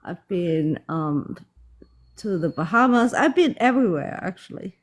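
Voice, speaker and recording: A woman's voice: lowish voice, animated, unhurried. A woman from Germany, a guest on a live stream, chatting in an easygoing, friendly way. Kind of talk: casual chat.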